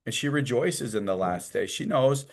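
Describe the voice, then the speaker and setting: A man's voice: mid-range voice, expressive, normal speed, vocal fry. A man teaching an informal church class, talking in a folksy, conversational way and acting out little quotes and images as he goes.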